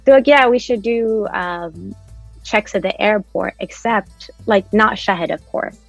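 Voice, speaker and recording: A woman in her thirties from New Jersey recounts her own experiences as a panelist, often pausing on "like." Her voice carries a gravelly fry. The sound is dry and a little dull. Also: medium pitch, no echo, soft background music. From United States, New Jersey. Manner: personal storytelling, soft-spoken with frequent "like". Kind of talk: online panel discussion.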